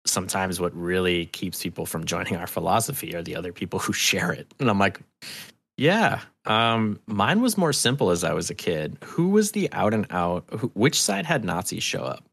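A man talking casually as a podcast guest, telling anecdotes in an informal, halting way. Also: sits low, sing-song, creaky, a bit bright, husky, no echo. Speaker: podcast guest, audio drama creator. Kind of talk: casual conversational storytelling.

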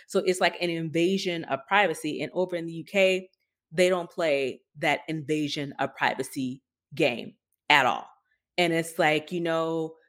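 A woman co-hosting a podcast, talking through the news in a casual, conversational way and thinking aloud. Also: fairly low pitch. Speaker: podcast co-host.

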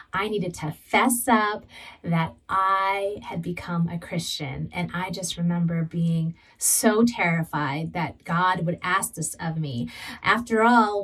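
Woman preacher from Southern California, slow measured delivery, earnest testimony, voice picked up from across the room. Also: medium pitch, recorded from a distance, low-quality recording. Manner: slow, deliberate, earnest storytelling. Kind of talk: sermon with personal testimony.

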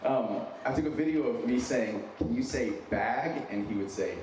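An American man with a slight Minnesotan accent speaks as a conference preacher in a mid-range voice. He is earnest, casual and self-deprecating. The sound is very reverberant and distant, with clear background noise. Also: medium pitch, moderate pace, darkish tone. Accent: American with a slight Minnesotan touch. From United States, born in San Diego and raised in Wyoming. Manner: informal, self-deprecating, earnest, emphatic repetition. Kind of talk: conversational sermon to an audience. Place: large echoey room with an audience. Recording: very echoey noisy hall, distant mic.

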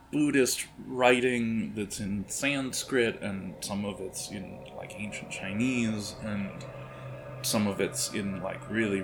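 Male game master, gravelly and lowish, improvised descriptive narration, some noise on the recording. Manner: halting, descriptive delivery.

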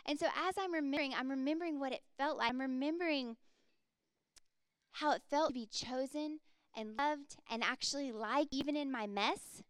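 A woman's voice: high-pitched, moderate pace, no echo, studio quality. A woman in her thirties preaching a sermon in an earnest, impassioned way. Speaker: speaker.

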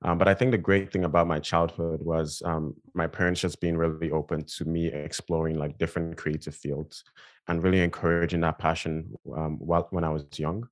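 A man's voice: deep voice, little pitch movement, fairly quick. A young adult man from Nigeria, a guest in an interview, talking casually and thoughtfully with many fillers and restarts. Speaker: guest designer.

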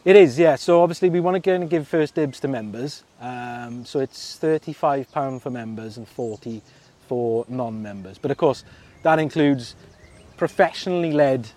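A man with a South Wales Merthyr accent talks in a loose, easygoing interview. His voice is mellow and dark, and he keeps dropping in little laughs, fillers and restarts. The pitch sits in an ordinary middle range for a man. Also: moderate pace. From Merthyr Tydfil, Wales. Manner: chatty, laughs often, many fillers and restarts. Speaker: fishing club secretary, guest. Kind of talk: casual interview chat.

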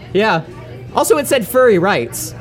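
A woman's voice: medium pitch, big pitch swings, slow pace, faint music behind. A woman hosting a live podcast in a bar, joking loosely with guests and laughing a lot between her fillers. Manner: laid-back, joking, full of fillers and laughter. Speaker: podcast host.

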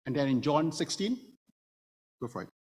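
In a church with long reverb, a man preaches in a slightly high voice. He is a native Hokkien speaker and explains scripture step by step, turning often to his listeners with rhetorical questions. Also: lively intonation, normal speed, darkish tone, husky. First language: Hokkien Chinese. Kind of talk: expository sermon to a congregation. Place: church room before a congregation. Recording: reverberant hall, long echo.

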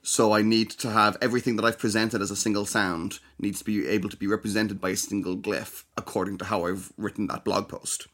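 A young man co-hosting a podcast, explaining at length and carefully, with frequent pauses and restarts. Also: on the low side, flat delivery, a bit bright. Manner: hesitant, many ums and restarts, explains carefully. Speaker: podcast co-host. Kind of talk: casual chat.

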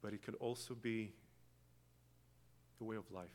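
A man from Israel with an Israeli accent, a native Hebrew speaker, giving a slow, reflective guest sermon in a church. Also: on the low side, fairly even intonation. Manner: slow, reflective, with long pauses and soft trailing phrases. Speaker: guest preacher. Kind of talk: meditative sermon with chanted Hebrew opening.

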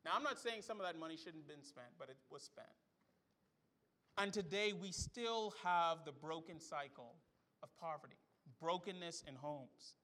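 A man's voice: on the high side, normal speed, sharp tone. A middle-aged man from the Bahamas, preaching a sermon to his church with emphatic rhetorical questions. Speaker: pastor. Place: church sanctuary.

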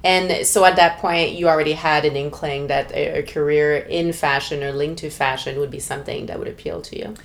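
A woman podcast host reading a polished, measured introduction and then asking questions. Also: sits low, steady tone, creaky. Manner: polished, measured, brief backchannels. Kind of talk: scripted intro and interviewing.

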